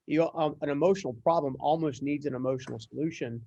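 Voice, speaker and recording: A man's voice: on the high side, fast, creaky, warm tone, no echo, highs cut off. A man hosting a conversational podcast interview, talking in an earnest, wordy and reflective way. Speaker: interview host.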